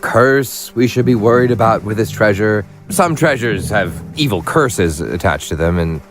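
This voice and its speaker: A man's voice: lowish voice, lively intonation, a little slow, over music. A man playing an anxious, superstitious treasure hunter in a comic audio drama, speaking in a worried, dramatic manner.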